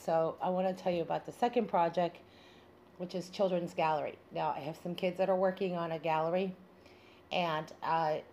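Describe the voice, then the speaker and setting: A woman's voice: on the low side, darkish tone. A woman hosting a show in an informal solo monologue, talking in a loose, rambling, improvised way. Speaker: show host. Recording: noticeable background noise.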